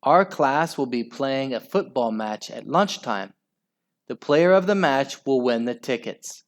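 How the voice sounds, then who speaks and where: A man voicing a stern, strict female schoolteacher in a children's story, speaking slowly and sharply. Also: medium pitch, animated. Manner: snapping, stern.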